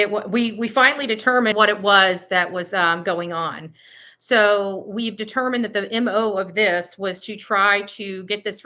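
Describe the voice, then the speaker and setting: A woman's voice: mid-range voice, pushed and bright. A woman livestreaming a casual monologue to her viewers, blunt and combative, repeating herself for emphasis. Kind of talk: casual livestream monologue, reading and answering chat comments.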